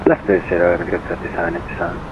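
A man's voice: low voice, completely flat delivery, rapid-fire. A man speaking as a pilot, reading back instructions over the radio. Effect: radio transmission. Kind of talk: radio readbacks. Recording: dull radio with loud cockpit noise.

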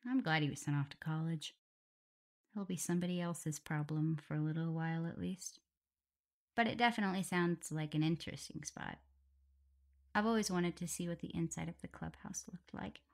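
A woman playing a shy, caring, self-doubting young werewolf in an intimate ASMR roleplay, speaking hesitantly. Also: fairly low pitch. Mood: amused, chatty. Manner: hesitant, stammering, soft, breathy whispers at times.

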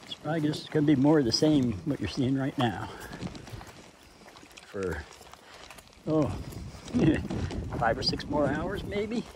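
A man's voice: fairly high pitch, expressive, slow pace, pauses often, very noisy. A man thru-hiking and vlogging casually as he walks a forest trail, speaking plainly with dry humor and thinking aloud. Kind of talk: casual vlog narration while hiking. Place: foggy forest trail outdoors. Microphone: body-mounted camera.

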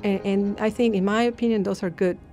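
A woman's voice: medium pitch, steady tone, a little fast, warm tone, faint music behind. A woman speaking in a heartfelt way during an interview, often restarting her sentences. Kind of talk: interview answers.

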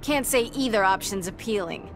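A woman's voice: medium pitch, expressive, moderate pace, over music, close to an everyday voice. A woman playing a plucky young superheroine in a video game, confident, flippant and defiant. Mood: confident, challenging. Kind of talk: video game character acting.

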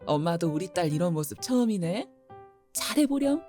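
A woman's voice: fairly low pitch, very animated, normal speed, mellow, soft background music. A woman playing a middle-aged mother who runs a flower shop, teasing her daughter affectionately. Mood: warm teasing.

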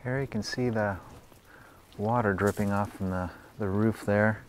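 Deep-voiced man exploring an old hangar. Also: measured pace, over loud music. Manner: relaxed, pointing things out as he walks. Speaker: travel vlogger. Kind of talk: casual exploring commentary. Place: outdoors at an old hangar site in snow.

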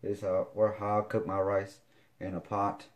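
A man in his forties with a fairly low voice talks through his kitchen gear in a loose, halting way, full of fillers and restarts. He is recorded by a camera on the counter of his home kitchen. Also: completely flat delivery. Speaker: fitness vlogger. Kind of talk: casual product demo.

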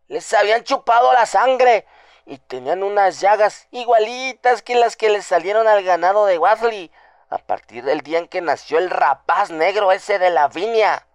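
A man's voice: high voice, no room sound, studio quality. A man playing a frightened, superstitious farm housekeeper woman with a trembling voice, telling alarming news at length in a radio drama. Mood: terrified, urgent.

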